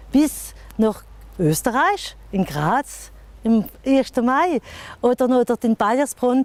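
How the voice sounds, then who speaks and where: A woman's voice: a little high, warm tone, a little hiss or hum. A middle-aged woman with an Alsatian accent, a storyteller whose first language is Alsatian, talking about her work and telling a fairy tale in a lilting way. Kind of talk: storytelling and interview.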